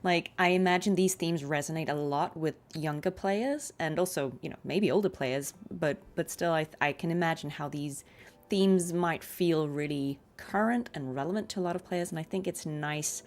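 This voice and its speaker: A woman's voice: sits low, moderate pace. A woman streamer giving casual game commentary in a chatty, reflective, encouraging way. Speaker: streamer and voice actor.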